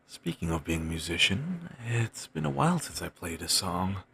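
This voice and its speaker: A man playing a wistful, self-doubting wandering bard in an intimate audio roleplay, musing in courteous, formal phrases with thoughtful pauses and a gravelly, husky voice. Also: sits low, sing-song, a bit bright, exaggerated voice. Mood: warm, curious. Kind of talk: intimate one-sided audio roleplay acting.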